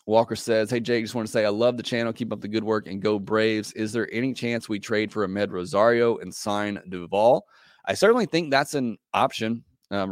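A hoarse man with a lowish voice hosts a casual podcast, working through listener comments. He talks in a loose, hesitant way with plenty of fillers, recorded close and dry with no room sound. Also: no room sound. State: hoarse, losing his voice. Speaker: podcast host.

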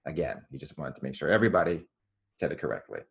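A woman's voice: extremely low pitch, very animated, racing, gravelly, slightly rough. A woman co-hosting a podcast, chatting casually and introducing guests. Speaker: podcast co-host.

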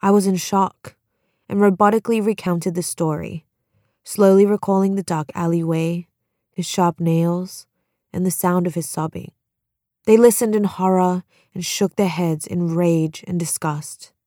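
A woman's voice: fairly low pitch, fairly even intonation, measured pace, warm tone, dry recording, clean studio sound. A woman who is an author, speaking reflectively about her writing and reading her memoir.

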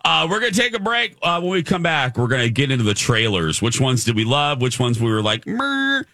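A man co-hosting a morning radio show, chatting casually and playfully with animated delivery. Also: medium pitch, brisk, no echo, studio quality.

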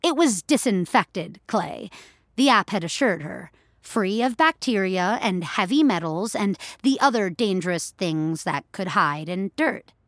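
A woman's voice: mid-range voice, a bit bright, no room sound, clean studio sound. A woman narrator reading scripted audio fiction as a storyteller.

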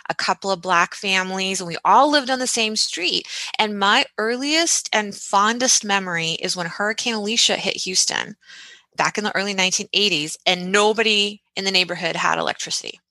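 Bright, lively woman telling stories. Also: mid-range voice, no room sound, rough recording. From United States (grew up in Texas). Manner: lively, reflective storytelling. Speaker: podcast host, guest. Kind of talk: casual interview storytelling.

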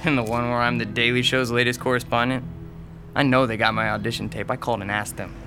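A man's voice: mid-range voice, flat delivery, quick pace, over music, lightly acted. A man playing an eager, defensive young would-be comedian in his twenties, joking glibly in an audio drama. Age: 20s. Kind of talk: naturalistic audio drama dialogue.

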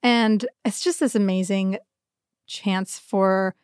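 A woman's voice: medium pitch, fairly even intonation, slow pace, darkish tone, clear, resonant tone, no room sound, studio quality. A young adult woman chatting casually and enthusiastically as a podcast co-host, often breaking into giggles.

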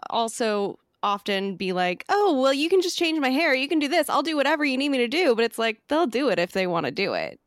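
A woman hosts an interview show in a fairly high, very animated voice. She chats casually and keeps affirming her guest. The sound is dry and close. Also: a little fast, hardly any pauses, no echo. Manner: friendly, lots of backchannel affirmations. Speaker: podcast host.

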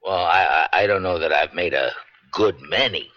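A man's voice: low voice, moderate pace, no echo, natural voice. A man acting an earnest, nervous drugstore clerk giving testimony in a radio drama, hesitant and deferential. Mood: flustered, hesitant. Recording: dry, dull vintage radio broadcast.

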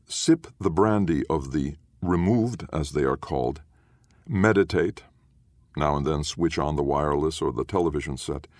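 Very deep-voiced male audiobook narrator. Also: dry recording, studio quality. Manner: measured, formal, deliberate reading. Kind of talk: scripted literary narration.

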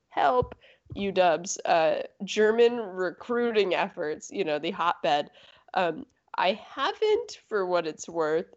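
Young woman co-hosting a podcast, kinda low voice, rambly, muffled lo-fi sound. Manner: rambling, self-interrupting, laughing asides. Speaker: podcast co-host. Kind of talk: casual chat. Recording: muffled lo-fi audio.

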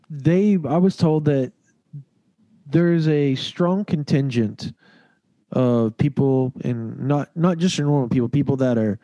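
Guy with a cold, nasal, dark mellow voice, rambly podcast chat. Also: medium pitch, no room sound. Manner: rambling, hedging. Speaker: podcast host. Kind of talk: casual sports chat.

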